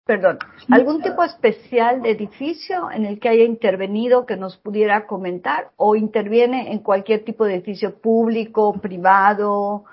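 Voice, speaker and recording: A woman's voice: mid-range voice, normal speed, few pauses, husky, muffled recording. A woman hosting a radio interview show, formal and courteous. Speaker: radio host.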